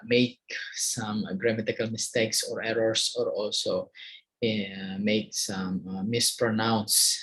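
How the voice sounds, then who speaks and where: A man's voice: lowish voice, a bit bright, rough recording. A man in his thirties with an Indonesian accent, lecturing for an online video in a hesitant way, with many fillers and restarts. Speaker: university lecturer. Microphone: video call microphone.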